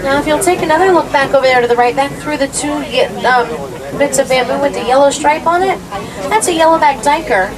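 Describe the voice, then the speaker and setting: A woman's voice: a little fast, crowd noise. A woman with an American accent, working as a tour guide and narrating animal facts from a script on a safari ride. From United States. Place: moving open safari vehicle outdoors. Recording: very noisy, distant vehicle loudspeaker. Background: loud vehicle rumble and ambience.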